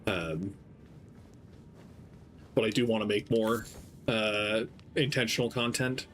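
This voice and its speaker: A young man streaming a game, talking in a gentle, soft-spoken, rambling way with lots of ums. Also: on the low side, takes it slowly, slight background noise. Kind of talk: casual gameplay stream chat. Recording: game music playing behind. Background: game music.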